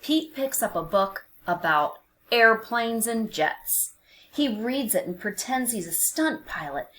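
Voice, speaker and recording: Slow-paced woman reading a children's storybook. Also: a little high, animated, a bit bright. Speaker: children's read-aloud narrator. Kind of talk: expressive storybook narration for young children.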